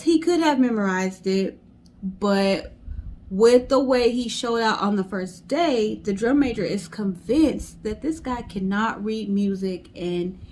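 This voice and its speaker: Slow-paced woman telling a story. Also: mid-range voice. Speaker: co-host. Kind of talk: casual storytelling, retelling a story.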